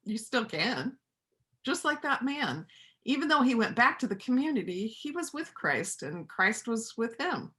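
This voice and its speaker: A woman's voice: on the high side, very animated, unhurried, bright, forceful tone, full character voice. A woman acting a lonely, plainspoken veterinarian and painter in a church reader's theater play. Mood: earnest, subdued.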